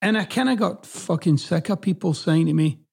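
Blunt Scottish-American man, gravelly. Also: sits high, moderate pace, a little mellow. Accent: Scottish, partly Americanized. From Scotland. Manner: blunt, swears freely, emphatic. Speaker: podcast host. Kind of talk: casual monologue.

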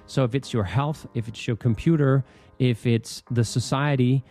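A man's voice: medium pitch, fairly even intonation, moderate pace, mellow, soft background music. A man with a Danish accent that leans American, casually hosting a solo podcast in an informal, playful, rambling way. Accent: Danish with American leanings. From Denmark. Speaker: podcast host.

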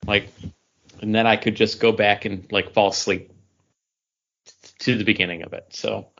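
A man's voice: on the low side, flat delivery, moderate pace, a bit bright. A man chatting casually and enthusiastically as a podcast host among friends. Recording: dull, lo-fi audio.

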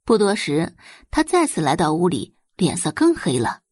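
Expressive husky woman narrating an audiobook. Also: on the low side, normal speed, no echo, clean studio sound. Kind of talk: scripted dramatic narration.

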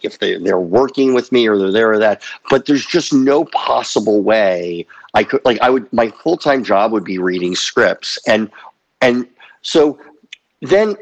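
A man in his fifties, a guest comedy writer, chatting casually on a podcast with halting restarts and dry self-deprecating humor. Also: medium pitch, lively intonation, muffled recording. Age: 50s.

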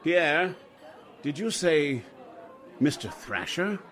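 An actor playing a nervous, flustered, respectable gentleman who stammers, in 1940s radio drama style. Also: a little high, very animated, slow pace, exaggerated voice. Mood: startled.

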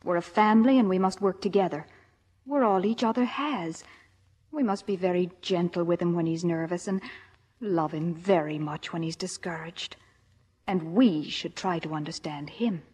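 An actress playing a patient, soothing wife and mother, gently firm and earnest, in mid-century radio comedy style. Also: fairly low pitch, normal speed, slightly rough, big-room sound. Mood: gentle, earnest. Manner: placating, earnest. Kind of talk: 1940s-50s radio comedy acting.

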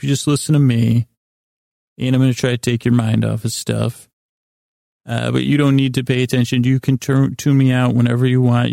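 A man hosting a bedtime podcast in a soothing, meandering, halting monologue with gently silly asides. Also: medium pitch, gravelly, dry recording, studio quality. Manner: soothing, lulling, mildly silly. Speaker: podcast host.

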